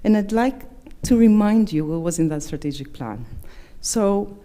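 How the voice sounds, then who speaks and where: A middle-aged woman from Greece, whose first language is Greek, giving a measured presentation to members with frequent fillers and self-corrections. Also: mid-range voice, animated, mellow. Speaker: executive director presenting annual report.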